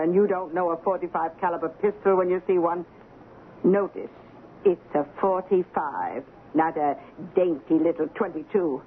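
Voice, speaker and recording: Woman acting bossy rich old lady in her 80s, slow and blunt, tinny hissy old radio play. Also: on the low side, lightly acted. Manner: blunt, haughty, lecturing. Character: imperious, fearless eighty-year-old wealthy woman. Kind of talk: 1970s radio drama acting.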